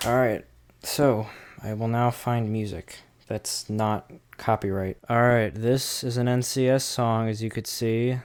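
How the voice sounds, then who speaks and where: A man's voice: medium pitch, level tone, a little slow, smooth. A man giving a casual, rambling hands-on product demo, talking informally to his viewers as the host. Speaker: host of a gadget review series.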